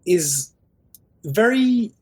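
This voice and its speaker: A middle-aged man with a Parisian French accent, a film director chatting in an interview, animated and quick, often restarting his sentences. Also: sits high, breathy edge. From Quebec, Canada. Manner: animated storyteller, quick bursts, frequent restarts. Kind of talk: casual interview chat.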